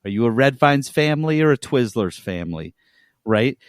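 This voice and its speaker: A man co-hosting a podcast, telling things in an animated, emphatic way amid casual banter. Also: mid-range voice, normal speed, dry recording, clean studio sound. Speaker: podcast co-host.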